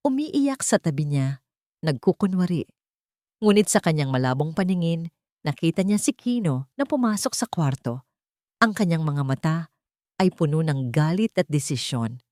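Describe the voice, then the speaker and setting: A woman's voice: deep voice, mellow, dry recording, clean studio sound. A woman narrating a dramatic story in a scripted, audio drama style.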